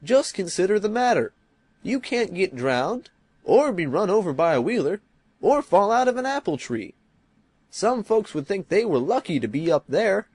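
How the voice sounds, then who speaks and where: A cheerful scarecrow, talks in a high, husky voice. He is amiable, folksy and mildly philosophical, with lilting storybook delivery. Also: big pitch swings, normal speed, darkish tone, no room sound, full character voice. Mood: reassuring. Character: cheerful, mild-mannered, philosophical living scarecrow. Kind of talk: dramatized storybook acting.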